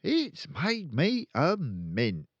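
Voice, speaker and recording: A man voicing a crooked, boastful, bullying middle-aged father in a children's storybook, loud and emphatic. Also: a little high, wildly swooping pitch, drawn-out words, dry recording, dull audio, voice pushed up, full character voice. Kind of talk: children's storybook read aloud.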